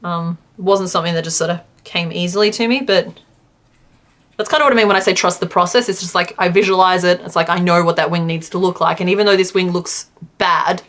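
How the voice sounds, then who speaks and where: Woman, slightly low voice, casual livestream chat, rambling, filler-heavy. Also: steady tone, quick pace. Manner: chatty, rambling, lots of "like" fillers; reads chat aloud and counts stitches quietly. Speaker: crochet streamer.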